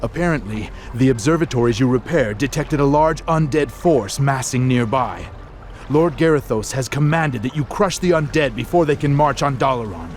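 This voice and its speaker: A man's voice: medium pitch, expressive, normal speed, a little hiss or hum, lightly acted. Man acting a dry, smug military envoy. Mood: formal. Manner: formal, dryly understated. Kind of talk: fantasy video game cutscene acting. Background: faint game music.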